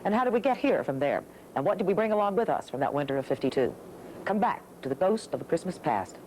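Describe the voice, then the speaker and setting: A woman's voice: on the low side, sing-song, fast talker, background noise. An American woman hosting a television documentary, reading scripted narration in rhetorical, clipped phrases.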